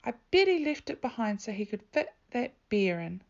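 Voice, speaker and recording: A woman voicing a bossy, tattling big sister in a loud, accusing manner for a storybook. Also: on the high side, big pitch swings, normal speed, creaky, highs cut off.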